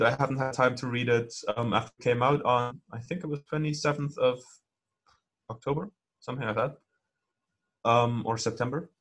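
Podcast co-host, male, mid-pitched, rambling easygoing chat. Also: a bit bright. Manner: rambling, lots of fillers and laughs. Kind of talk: casual chat.

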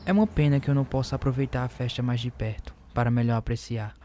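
Man acting a proud husband with a dark voice. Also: medium pitch, fairly even intonation, quick pace, natural voice. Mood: ironically polite. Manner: formal, curt, ironic politeness. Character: proud, resentful newlywed husband, coldly polite and ironic. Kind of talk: radio drama acting. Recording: music bed behind the voice.

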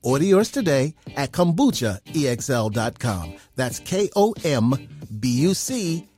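A man reading a scripted commercial in a smooth promotional manner. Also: on the high side, sing-song, unhurried, darkish tone, soft background music. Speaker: ad announcer.

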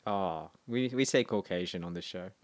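A man's voice: deep voice, moderate pace, dry recording, studio quality. A man co-hosting a casual podcast, joking and laughing often as he reads out dating profiles. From Australia. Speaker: podcast co-host. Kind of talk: casual banter.

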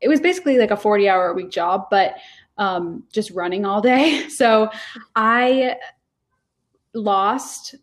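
A woman's voice: mid-range voice, lively intonation, a little slow. A woman in her early twenties from Rhode Island, a guest telling her story in casual, rambling talk full of fillers and restarts. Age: 20s. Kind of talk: casual interview chat.

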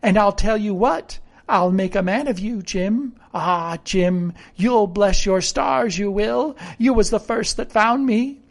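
Storybook castaway seaman, played by a woman: cracked in the head, crafty, eager as a child, high-pitched rustic talk. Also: slow, few pauses. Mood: excited, boastful. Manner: rustic, ungrammatical sailor speech. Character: half-crazed marooned old sailor, sly, childishly eager man. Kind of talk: audiobook character voice.

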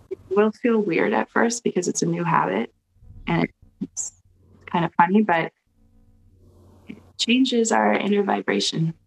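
A woman on a video call gently guiding a mantra meditation in a soft, slow, soothing way. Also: lowish voice, creaky, slightly rough, low-quality recording. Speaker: guest leading a mantra exercise.

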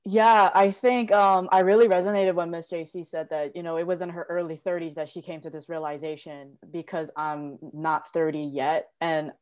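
A woman's voice: lowish voice, level tone, moderate pace, hardly any pauses, a little mellow, smooth. A woman in her late twenties from South Korea sharing her personal story in an earnest, reflective, emphatic way during a conversation. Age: late 20s.

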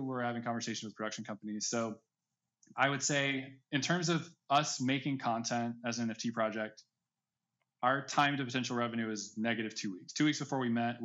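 Male interview guest, informal and conversational, mid-pitched voice, slightly lo-fi recording. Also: a bit bright. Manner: informal, heavy use of "like" and "right". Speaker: guest, NFT project creator. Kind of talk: casual interview talk.

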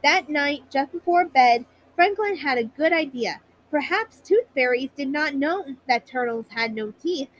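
A woman storyteller narrating a children's bedtime story in a high-pitched voice. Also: animated, unhurried, hardly any pauses, low-quality recording.

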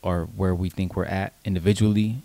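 A man in his late twenties co-hosting a podcast, chatting casually and informally in a halting, rambling way with swearing. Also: low voice, little pitch movement, dark tone, no echo. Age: late 20s. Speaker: podcast co-host. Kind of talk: casual unscripted podcast chat.

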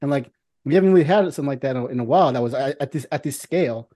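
A man co-hosting a casual podcast, talking in a halting, rambling and informal way with some swearing. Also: medium pitch, mellow. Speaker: podcast co-host.

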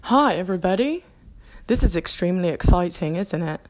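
A woman's voice: fairly low pitch, expressive, a little fast, a little mellow. A woman doing a put-on Australian accent that keeps slipping into English, talking off the cuff in a rambling, self-mocking way in her apartment and laughing often. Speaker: podcast host. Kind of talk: impromptu stream-of-consciousness monologue.

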